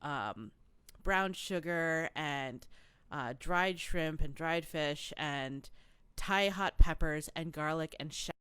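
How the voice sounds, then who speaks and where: Low-voiced woman, chatty podcast co-host. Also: takes it slowly, clear, resonant tone, dry recording, studio quality. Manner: chatty, animated storytelling with many fillers. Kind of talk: casual chat.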